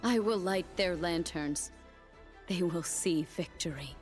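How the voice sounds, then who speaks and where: An actress voicing a grave young woman warrior who leads her people in war, speaking deliberately in dramatic video game lines. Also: on the low side, soft background music, close to an everyday voice. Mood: resolute.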